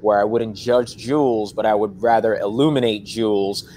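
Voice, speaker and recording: Animated young man on a video call. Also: fairly low pitch, normal speed, a little hiss or hum. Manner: animated, gestures a lot, frequent fillers. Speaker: actor, interview guest. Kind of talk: casual interview answers. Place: living room, seated on a couch. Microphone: webcam on video call.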